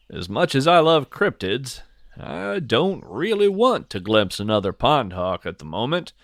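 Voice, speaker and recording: A man's voice: medium pitch, fairly bright tone, dry recording, clean studio sound, heavily acted. A man playing a whimsical, earnest naturalist who muses with folksy wonder in a first-person field-journal audio drama. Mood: relieved, grateful. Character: whimsical, earnest male naturalist who hunts strange cryptids. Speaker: podcast host and narrator.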